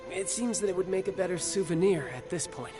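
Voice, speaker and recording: Male actor as a worn-out, playful young game warrior; slightly high voice, distant, music underneath. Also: fairly quick, lightly acted. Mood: courteous. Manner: smooth, playful, teasing. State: weakened, injured. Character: injured cocky young male warrior, family-loving. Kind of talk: video game cutscene voice acting.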